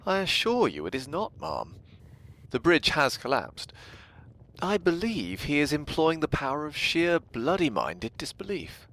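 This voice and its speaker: An actor playing an unflappable, deferential butler in a comic audio sketch, formal, deadpan and drily understated. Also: male voice, a little high, measured pace, full character voice, poor audio. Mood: deadpan, earnest. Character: unflappable, deferential male butler to a genteel hostess.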